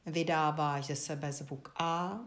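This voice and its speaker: A woman with a fairly low voice leads a guided movement lesson. She speaks as an instructor, giving short directions and leaving frequent pauses between them. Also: steady tone, a bit bright. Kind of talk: guided Feldenkrais body-awareness lesson, spoken instructions.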